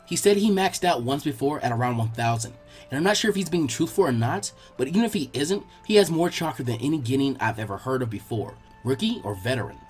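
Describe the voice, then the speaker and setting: A man's voice: mid-range voice, expressive, fast talker, a little mellow, soft background music, pitched below the performer's own voice, natural voice. A man voicing an earnest, bookish young girl tutor who explains things kindly and teasingly in an audiobook-style story. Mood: explaining.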